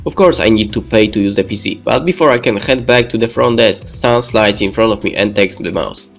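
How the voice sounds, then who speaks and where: A man's voice: lowish voice, fairly quick, gravelly, fairly bright tone. Man narrating a game story over music. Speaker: let's player reading a visual novel aloud. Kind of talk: reading game narration aloud.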